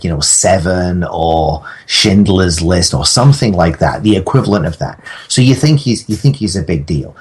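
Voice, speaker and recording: A man chatting casually as a podcast guest, telling stories in a halting, rambling way. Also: low-pitched, slightly rough. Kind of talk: casual anecdotal chat.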